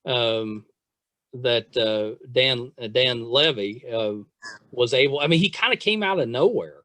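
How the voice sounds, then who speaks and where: A man hosting a podcast in casual chat, gushing like an enthusiastic fan in halting, restarting sentences. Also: mid-range voice, animated, fairly bright tone, no echo. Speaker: podcast host.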